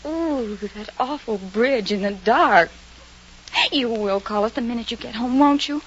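An actress playing an excitable, worried young woman friend in a vintage radio drama, with an expressive, dramatic delivery. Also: a little high, unhurried, breathy edge. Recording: muffled old radio recording.

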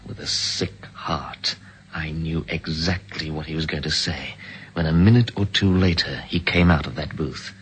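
A man's voice: extremely low pitch, steady tone, moderate pace, a bit bright, slight background noise, poor audio. An actor with a British accent narrating and playing a driven man in a vintage radio drama, clipped and urgent. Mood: grim. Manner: urgent and clipped in scenes, grim when narrating. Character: driven British man racing to stop a bomb. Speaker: narrator and lead character.

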